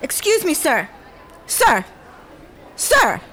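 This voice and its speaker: An actress playing a stern shop security worker in an audio drama, formal and insistent. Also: female voice, high voice, huge pitch swings, drawn-out words, exaggerated voice. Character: stern, officious woman working as shop security.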